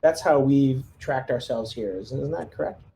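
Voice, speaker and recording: Tentative mellow-voiced male gamer. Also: medium pitch, moderate pace, creaky. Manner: tentative, thinks out loud. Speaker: tabletop game player. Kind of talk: casual, hesitant in-game questioning. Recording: faint background noise, ambience.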